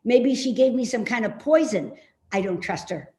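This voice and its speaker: A woman's voice: fairly high pitch, lively intonation, dark tone, natural voice. A woman in a play reading, playing a suspicious, complaining elderly mother who nags indignantly. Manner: nagging, indignant, slow and insistent. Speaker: actor in a readers theater play. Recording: roomy, echoey remote reading.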